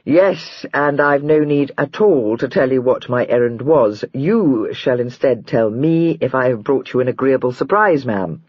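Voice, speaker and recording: A narrator voicing a gentle, wry young husband who talks in a soft, dry, gently provoking way. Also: male voice, medium pitch, measured pace, hardly any pauses, husky, no echo, highs cut off. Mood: teasing.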